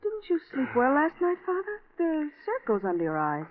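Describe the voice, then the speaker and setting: An actress playing a polite, solicitous young daughter in a genteel old radio drama style. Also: female voice, high voice, wildly swooping pitch, a little fast, a little hiss or hum. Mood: concerned. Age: young adult. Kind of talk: 1940s radio acting. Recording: tinny vintage radio recording.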